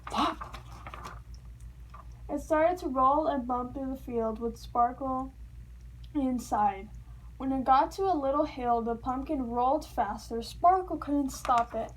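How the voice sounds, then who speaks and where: A woman reads a children's story aloud. Her voice is quite high, and her storytelling is expressive and playful. There is faint noise in the recording. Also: takes it slowly, pauses often, poor audio. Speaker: storybook reader. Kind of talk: children's read-aloud narration.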